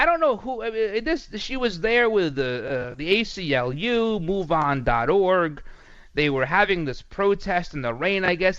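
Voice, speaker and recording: Male talk radio host, high voice, dry studio sound, sarcastic and animated. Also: dry recording, lo-fi sound. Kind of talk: political commentary monologue.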